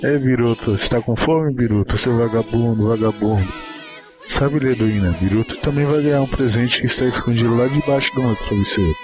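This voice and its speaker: A man's voice: medium pitch, a little fast, natural voice. Tender, eager young orphan boy, played by a man, audio drama with music underneath. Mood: excited, affectionate. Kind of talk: dramatic reading of a short story.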